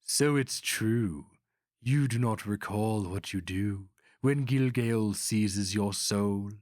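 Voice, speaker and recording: A man's voice: fairly low pitch, takes it slowly, darkish tone, no echo, studio quality. A man voicing a haughty, contemptuous monstrous sorcerer grand master in archaic, formal speech for a fantasy story. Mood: sly, contemptuous.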